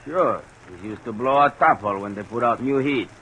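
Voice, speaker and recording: An actor playing a big, slow, surly foreign furnace worker who speaks blunt, accented broken English in an old radio drama. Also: male voice, medium pitch, sharp tone, rough recording. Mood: sullen, evasive. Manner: blunt, clipped broken sentences. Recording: old radio recording with faint hiss.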